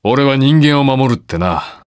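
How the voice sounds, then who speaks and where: Man acting a gruff masked hero, swinging pitch. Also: animated, unhurried, no room sound, clean studio sound, lightly acted. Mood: defiant, fierce. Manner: curt, brusque, rough casual speech. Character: gruff, reluctant young male masked hero, stubbornly protective. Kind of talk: video game voice lines, battle quips.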